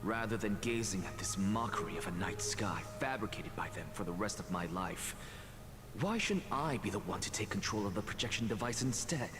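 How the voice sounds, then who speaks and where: A man's voice: lowish voice, animated, brisk, natural voice. A male voice actor playing a brilliant, ambitious young student in a video game, questioning and defiant with theatrical intensity. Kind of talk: scripted game voice acting. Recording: long reverb under loud game music.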